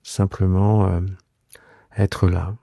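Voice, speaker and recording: A man guiding a yoga relaxation meditation in a soothing, unhurried way, leaving long pauses between short phrases. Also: low voice, little pitch movement, gravelly, warm tone, no echo, clean studio sound. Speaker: yoga nidra guide and host. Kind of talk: guided relaxation meditation, improvised.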